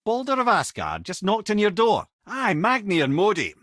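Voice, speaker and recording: A worldly-wise old Scottish storyteller, a reanimated severed head from a video game. His delivery is wry and eloquent, with old-fashioned phrasing and a lively, swooping melody. The sound is dry, with no room around it. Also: high-pitched, normal speed, no room sound, heavily acted. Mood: wry, knowing.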